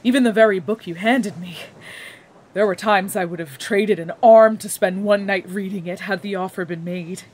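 An actress playing an earnest young scholar, speaking in formal, precise, methodical phrases for a fantasy audio drama. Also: female voice, mid-range voice, normal speed, darkish tone, lightly acted. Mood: earnest, wistful. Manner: formal, precise, bookish wording.

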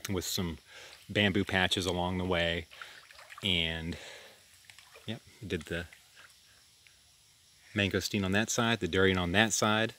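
Deep-voiced man rambling on a farm walk. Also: slight background noise. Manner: rambling, relaxed, self-deprecating with restarts. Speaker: farm vlogger. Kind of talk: casual walk-and-talk farm tour. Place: outdoors by a creek on a tropical farm.

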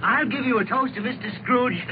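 A man's voice: extremely high pitch, brisk. An actor playing a kindly, meek, poor father in the earnest style of 1930s radio drama. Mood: warm, earnest. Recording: noisy, tinny 1930s radio broadcast.

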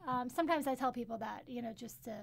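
Young actress guest on a studio mic, high voice with some gravel, chatty and self-deprecating. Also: female voice, fast. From upstate New York, raised in Pittsburgh. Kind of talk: casual radio interview chat. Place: small radio studio. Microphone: studio mic on a boom.

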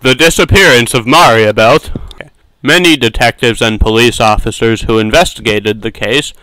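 A man's voice: mid-range voice, moderate pace, bright, forceful tone, no echo, lightly acted, low-quality recording. A man voicing an earnest, exasperated young detective's assistant in an anime fan dub, with stilted, scolding delivery. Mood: exasperated, serious.

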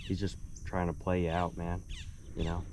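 Young man, calm coaching, low voice, small boat outdoors, heavy background noise. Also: normal speed. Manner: calm, directing and encouraging.

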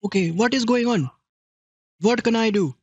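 A man's voice: high-pitched, normal speed, darkish tone, slightly rough, lo-fi sound. A man playing a confused victim on a prank call, speaking broken English in a put-on Indian accent and teasing the caller. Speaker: scam baiter posing as a victim.

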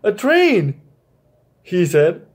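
Slow-talking young man hosting story time. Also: high voice, wildly swooping pitch, slightly rough. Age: young adult. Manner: halting, repeats phrases. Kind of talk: children's story time, read-aloud and chat to viewers. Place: small bright room indoors.

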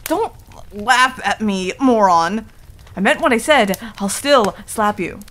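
A woman's voice: medium pitch, animated, slow, a bit bright. A woman playing a stubborn, easily flustered female werewolf who stammers defensively, in an ASMR roleplay. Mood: teasing, flustered. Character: flustered, stubborn tsundere female werewolf, young, secretly affectionate.

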